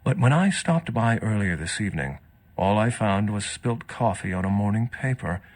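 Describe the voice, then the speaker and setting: An actor playing a wry, worldly old vampire scholar in a video game, speaking in an erudite, measured and sardonic way. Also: male voice, on the low side, animated, low-quality recording. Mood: matter-of-fact.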